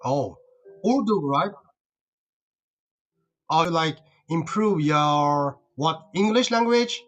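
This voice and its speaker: A man's voice: a little high, animated, slow, a bit bright, faint music behind. A man from China whose first language is Uyghur, chatting with strangers online in a friendly, teasing way and asking lots of questions. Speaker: participant in an online English practice chat. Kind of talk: casual chat.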